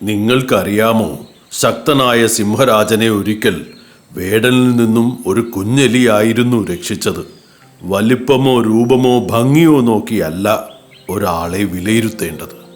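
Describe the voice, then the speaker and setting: A man voicing a wise, patient tortoise in a children's cartoon, giving measured moral advice. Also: on the low side, faint music behind. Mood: calm admonishing. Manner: measured, admonishing. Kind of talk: children's cartoon story voice acting.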